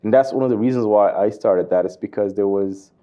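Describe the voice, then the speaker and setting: A man's voice: fairly low pitch, fairly quick, dark tone, lo-fi sound. A man who speaks Somali as his first language and grew up in Canada, chatting as a guest in an interview. He talks easily and repeats words while he looks for the right phrase. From Somali, came to Canada at nine. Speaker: comedian and comedy club organizer. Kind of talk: casual interview chat.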